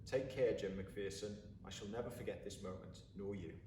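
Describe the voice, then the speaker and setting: A man voicing a warm, courteous German officer in a storybook, polite, measured and earnest. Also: fairly low pitch, steady tone, natural voice. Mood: solemn, warm. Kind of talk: storybook character dialogue. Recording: roomy, distant mic, faint background noise.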